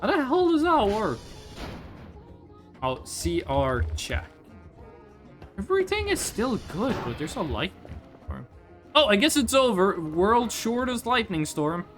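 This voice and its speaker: A man from Norway who speaks Norwegian natively, with a high voice that moves around a lot in pitch. He talks slowly and ramblingly as he streams a game, casual and curious, with music playing clearly behind him. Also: sing-song, fairly bright tone. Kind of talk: casual livestream chat over gameplay.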